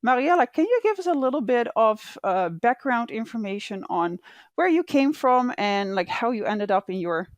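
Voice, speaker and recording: A woman's voice: on the high side, sing-song, moderate pace, no room sound. A young woman hosting a podcast, reading her intro and questions in a friendly way with "um" and "like" fillers. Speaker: podcast host.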